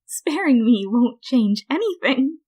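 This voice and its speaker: A woman's voice: high-pitched, very animated, slow pace, darkish tone, husky, pitched above the performer's own voice, heavily acted. A woman voicing a small, spiteful male flower monster from a storybook reading, who shrieks and sneers. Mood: shrill taunting.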